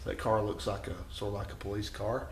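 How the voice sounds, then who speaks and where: A man's voice: fairly low pitch, little pitch movement, quick pace, gravelly. A man giving a casual hands-on demonstration as a garage host, rambling, thinking aloud and often doubting himself. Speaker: host of a home garage repair channel. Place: home garage. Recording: echoey, noisy garage recording.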